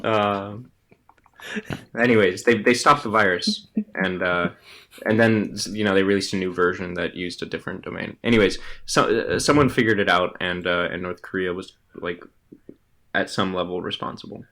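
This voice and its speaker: A man co-hosting a casual podcast, playful and bantering, quick to laugh. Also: sits low, fairly even intonation, sharp tone. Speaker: podcast co-host. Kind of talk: casual chat.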